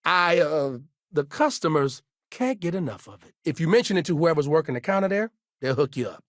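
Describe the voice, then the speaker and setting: An actor playing an eager, gullible fast-food manager in a video game, talking in an upbeat, chatty, salesy way. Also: male voice, on the high side, animated, dry recording, studio quality, lightly acted.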